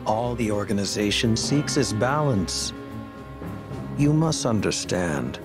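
A man's voice: on the low side, full character voice. A man playing a suave, menacing video game villain who speaks in a courtly, condescending, formal way. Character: suave, menacing male villain of a dark organization. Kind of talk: video game cutscene acting.

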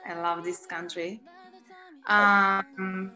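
Israeli-accented young woman, slow and soft. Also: mid-range voice, little pitch movement, reverberant, soft background music. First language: Hebrew. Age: young adult. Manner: soft-spoken, with frequent ums and laughs. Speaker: guest singer. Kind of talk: casual interview answers over video call.

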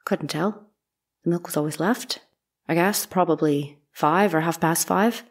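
A woman's voice: fairly low pitch, unhurried. A woman playing a plain, guarded young housemaid giving terse, deferential testimony in a courtroom drama. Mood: guarded, matter-of-fact. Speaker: actor reading a trial witness. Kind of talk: dramatic reading of courtroom transcript.

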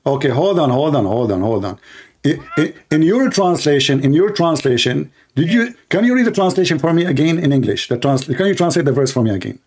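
High-voiced man in a heated debate. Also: expressive, a little fast, a little mellow, breathy edge. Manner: combative, mocking, repeats demands. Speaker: debate host.

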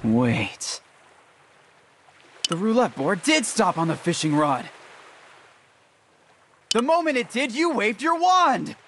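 A man's voice: sits high, sing-song, slow, long pauses, fairly bright tone, slight background noise, heavily acted. A man voicing an earnest, intense and righteous young hero in an anime mobile game. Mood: accusing. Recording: music behind the voice.

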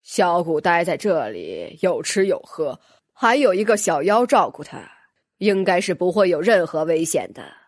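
Woman voicing a lowered, calm old gentleman mentor. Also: a little slow, no echo, studio quality. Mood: calm, assured. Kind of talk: audiobook character voice.

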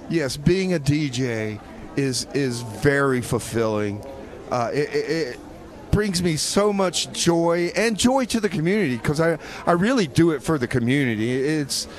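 A man's voice: medium pitch, natural voice. A man playing an aging radio DJ who rambles, stammers and defends himself in a comedy press conference skit. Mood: earnest, rambling. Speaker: DJ giving a mock press conference.

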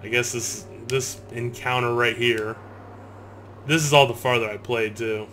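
Sweary low-voiced guy playing a video game. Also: fairly even intonation, unhurried, fairly bright tone, faint music behind. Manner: sweary, griping, self-deprecating. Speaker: gamer, video host. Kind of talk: casual gameplay commentary. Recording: clear game ambience noise behind. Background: horror video game sound.

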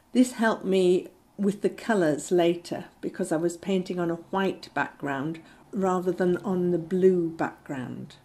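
A woman's voice: on the low side, a little mellow. An older woman giving a chatty, practical painting tutorial from a small room at home. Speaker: art tutor.

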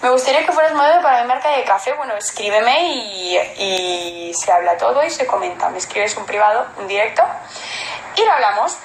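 A Spanish woman in her twenties chatting casually on a phone livestream, answering viewers in Spanish and halting English with a Spanish accent. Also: on the high side, animated, gravelly, echoey room, slight background noise, poor audio. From Spain. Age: 20s. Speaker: model on a livestream. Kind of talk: casual livestream chat reading comments. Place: indoor room at home. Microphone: phone held at arm's length.

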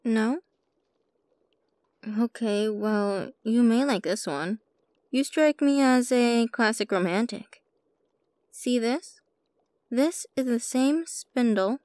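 Woman voicing a playful witch shopkeeper, ASMR roleplay, teasing, creaky fry, very dry close recording. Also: a little high, darkish tone, no room sound. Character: sly, playful witch shopkeeper with a soft heart. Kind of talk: ASMR roleplay, scripted character monologue.

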